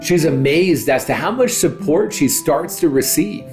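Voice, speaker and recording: Animated, emphatic story narrator over music. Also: medium pitch, a little slow. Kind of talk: scripted inspirational story narration.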